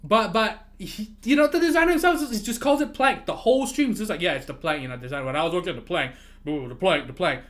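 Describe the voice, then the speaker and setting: Animated, ranting male streamer. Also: high-pitched, fast talker. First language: not English. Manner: animated ranting, swears freely, laughs often. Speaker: livestreamer. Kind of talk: casual livestream chat.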